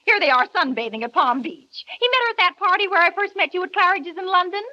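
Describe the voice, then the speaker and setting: An actress in a 1940s radio drama playing an older snobbish society matron, bossy and gushing. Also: female voice, very high-pitched, expressive, quick pace, bright, forceful tone, full character voice. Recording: dull lo-fi old radio recording.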